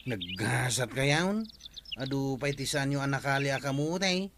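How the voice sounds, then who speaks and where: An actor with an Ilocano accent playing a chatty, helpful tricycle-driving villager in a radio comedy drama. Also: male voice, mid-range voice, animated, a little slow, background noise. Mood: chatty, teasing.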